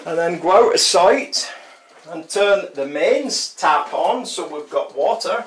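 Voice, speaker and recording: A man's voice: sits high, lively intonation, slow pace, slight background noise. An older man giving a casual hands-on how-to, chatty and wry with self-deprecating humour. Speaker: DIY tutorial presenter. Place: small tiled bathroom shower, indoors. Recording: roomy tiled echo, distant microphone.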